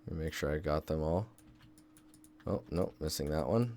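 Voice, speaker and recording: A man's voice: very deep voice, normal speed, darkish tone, slight background noise. A young man from Seattle giving a laid-back live-stream tutorial at his desk, thinking aloud and answering chat as he works. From Seattle, Washington State, US. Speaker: game environment artist streaming. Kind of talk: casual live-stream tutorial, chatting with viewers.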